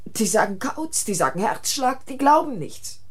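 A weary, traumatized wife in a radio crime play. Her voice is husky and moves through a wide range. She speaks haltingly and intensely, circling back on her own words. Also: on the high side, big pitch swings. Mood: bitter, resigned. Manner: halting, repetitive, intense delivery. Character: weary, traumatized wife who killed her tormenting husband. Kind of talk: German radio crime drama acting.

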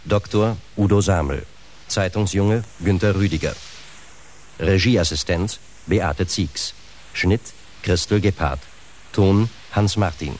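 A man's voice: deep voice, slow pace, darkish tone. A man announcing titles and credits in a formal, scripted radio style. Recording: faint background hiss.

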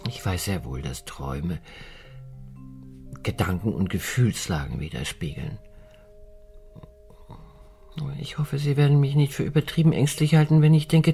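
A woman playing an anxious, dignified widow who leads an expedition in a German radio play, speaking in a hesitant, formal, deliberate way with a husky voice. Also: very deep voice, dark tone. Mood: cautious, earnest. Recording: music under the voice.

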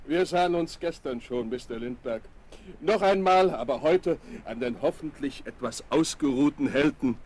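An actor playing a courteous, formal official in a German children's radio play. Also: male voice, a little high, moderate pace, a little mellow, close to an everyday voice. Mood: warmly ceremonious. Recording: faintly noisy vinyl record transfer.

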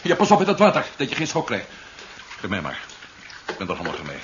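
A man's voice: lowish voice, wildly swooping pitch, fast talker. An actor playing a wary, practical male space adventurer in a 1970s radio play, speaking earnestly. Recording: dull, noisy vintage radio recording.

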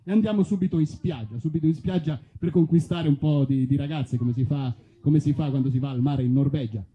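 A man's voice: on the high side, a little fast, mellow. Male stand-up comic, muffled phone-like sound. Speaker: stand-up comedian. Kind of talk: live stand-up comedy monologue. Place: festival stage outdoors among olive trees. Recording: muffled, phone-like live stage recording.

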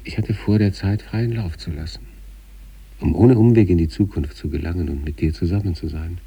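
A man acting an obsessive sanatorium patient in a literary radio monologue, reading out letters to his wife with measured, introspective intensity and a husky, gravelly voice. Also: no room sound, far from the mic, heavily acted. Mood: absorbed, determined. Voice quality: husky, gravelly, dark low voice.

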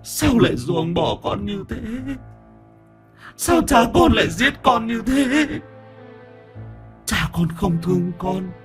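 A man voicing the weeping ghost of a young man, pleading through tears in a raised, breathy voice. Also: a little high, lively intonation, takes it slowly, pauses often, soft background music, pitched above the performer's own voice. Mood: sobbing plea. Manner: sobbing, pleading.

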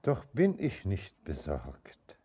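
Older radio-play father: skeptical but gentle, very mellow, rough-edged, slow delivery. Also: deep voice, wildly swooping pitch, heavily acted. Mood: probing. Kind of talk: stylized stage-like radio drama acting.

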